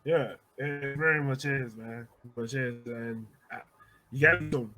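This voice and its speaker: A man's voice: medium pitch, unhurried, bright, forceful tone. Casual man chatting as sports podcast host.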